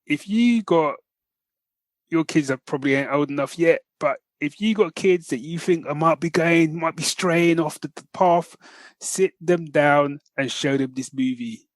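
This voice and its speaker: A man co-hosting a podcast, telling stories in an animated, slangy, casual review style. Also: a little high, unhurried, no echo. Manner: animated storytelling with slangy asides. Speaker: podcast co-host. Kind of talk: casual chat, film review.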